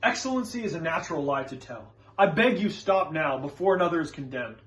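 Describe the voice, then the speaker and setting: A man plays a scholarly witch-hunting reverend in a stage drama. The high voice is earnest and preacherly, leaning firmly on formal, archaic wording. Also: expressive, brisk, a bit bright. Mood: pleading.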